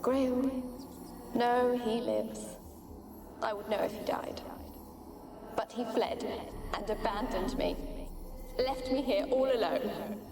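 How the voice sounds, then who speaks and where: A woman's voice: a little high, fairly even intonation, takes it slowly, long pauses. An actress playing the ghost of a sorrowful young noblewoman in a video game, pleading and evasive, with archaic, plaintive phrasing. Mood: wistful, sorrowful. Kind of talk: dramatic video game acting. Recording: echoing, distant ghostly voice over music.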